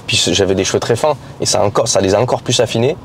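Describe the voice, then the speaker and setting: A man hosts a conversation from the driver's seat, talking fast with a chatty, teasing, slangy manner. Faint road noise hums under his voice. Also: fairly low pitch. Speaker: host. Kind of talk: casual chat. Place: inside a car in city traffic.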